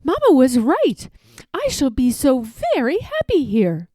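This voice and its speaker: A woman's voice: sits high, huge pitch swings, a little slow, dark tone, no room sound, studio quality, exaggerated voice. A woman voicing a sweet, obedient thirteen-year-old princess in a storybook, dainty and earnest. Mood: delighted.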